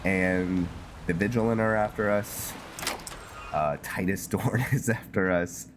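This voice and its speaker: A man giving casual gameplay commentary, musing aloud in a halting, hesitant way, with music behind him. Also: low voice, fairly even intonation, background noise. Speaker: gamer playing and narrating a fantasy roleplay series. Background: loud game music under the voice.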